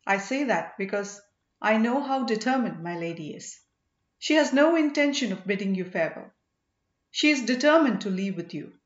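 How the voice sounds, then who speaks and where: A woman's voice: mid-range voice, lively intonation, normal speed, close to an everyday voice. A woman voicing a loyal, plain-spoken male servant who addresses his master respectfully and emphatically, as in a storybook. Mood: confiding. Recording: roomy, slightly dull home recording.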